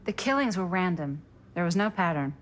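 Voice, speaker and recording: An actress playing a knowing, reserved young American woman in a video game, wry and understated in a measured delivery. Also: lowish voice, lively intonation, slight background noise, close to an everyday voice. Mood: matter-of-fact, ominous. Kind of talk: video game cutscene acting.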